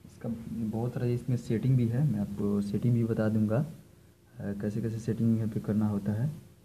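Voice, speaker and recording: A man's voice: fairly low pitch, little pitch movement, moderate pace, warm tone, a little hiss or hum. A man giving an informal hands-on tutorial as an electrician, explaining step by step and often repeating himself. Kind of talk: informal hands-on product demonstration. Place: indoors, filming equipment on the floor.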